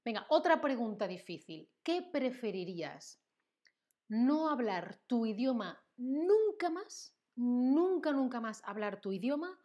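Unhurried Andalusian woman teaching live. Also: fairly high pitch, very animated, warm tone. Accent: Andalusian Spanish. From Seville, southern Spain. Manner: playful, chatty, laughs often, reads chat names aloud; lists words slowly with hesitant fillers. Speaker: Spanish teacher hosting a live stream. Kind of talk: live-stream lesson with chat interaction.

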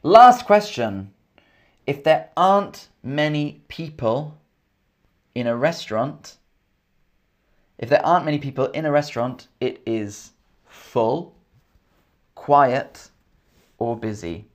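A man with an English accent teaches English on a live stream, speaking slowly and clearly. His pitch rises and falls with a lot of movement as he repeats each question for learners. He stops often to greet and thank viewers from the chat. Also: expressive. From England. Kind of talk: live online English lesson, reading chat comments. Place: indoors, in Scotland.

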